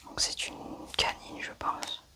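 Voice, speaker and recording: A woman doing an ASMR medical roleplay, softly and hesitantly thinking aloud as she narrates each step of her work. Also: takes it slowly, distant mic. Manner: soft, hesitant, thinking aloud while working. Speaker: ASMR performer playing a medical examiner.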